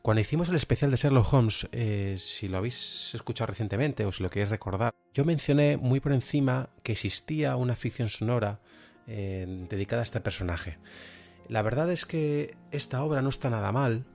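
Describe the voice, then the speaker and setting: A man's voice: mid-range voice, a little fast, darkish tone, faint music behind. A man with an accent from Spain, presenting an informal podcast review in a chatty, hesitant, self-deprecating way. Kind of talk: informal review of audio dramas.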